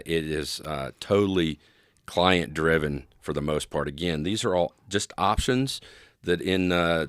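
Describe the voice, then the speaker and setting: A man with an extremely low voice answers as an expert guest in a conversational interview. He is agreeable and measured, hedging and restarting as he explains. The sound is dry, with almost no room. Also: dry recording.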